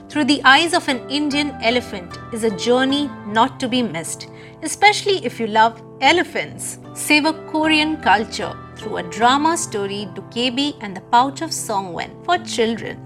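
A woman hosts a podcast, reading a scripted introduction before moving into questions for her guest. Her voice sits a little high for a woman, and she sounds eager and enthusiastic. Faint music plays underneath. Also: fairly bright tone. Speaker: podcast host.

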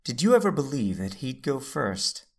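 A man playing an affectionate, gently teasing husband in a sci-fi audio drama. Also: medium pitch, big pitch swings, normal speed, breathy edge, soft background music.

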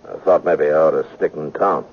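An actor playing a gruff American sheriff in a 1950s radio western, terse, folksy and guarded. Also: male voice, rumbling low, steady tone, a little fast, full character voice. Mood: terse, evasive. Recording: dull, noisy 1950s radio recording.

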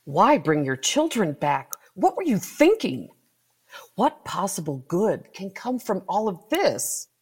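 An actress playing a menacing, vengeful sister in a gothic horror melodrama, speaking in a theatrical, deliberate way. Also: female voice, mid-range voice, wildly swooping pitch, slightly rough, exaggerated voice. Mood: accusing, dramatic. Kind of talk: melodramatic audio drama acting.

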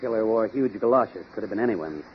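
An actor playing a keen, self-assured American detective, articulate and in command, in old-time radio drama style. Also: male voice, fairly low pitch, expressive, a little fast, natural voice. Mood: keen deduction. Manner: articulate, deductive, takes charge. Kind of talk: 1930s-40s American radio acting. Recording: dull, noisy vintage radio recording.

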